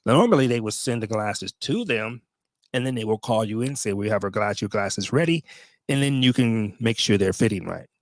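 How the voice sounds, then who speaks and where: A man's voice: on the low side, expressive, brisk, dry recording. A man in his late fifties, a veteran vlogger talking casually to his viewers, rambling with many fillers and restarts. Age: 50s. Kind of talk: casual talk to camera.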